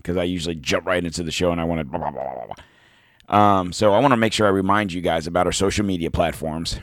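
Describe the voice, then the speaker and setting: Hoarse, low-voiced podcast host. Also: male voice, fairly bright tone, dry recording, clean studio sound. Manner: rambling, self-deprecating, reads sponsor ads. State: hoarse from throat trouble. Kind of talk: casual podcast monologue. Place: home podcast studio.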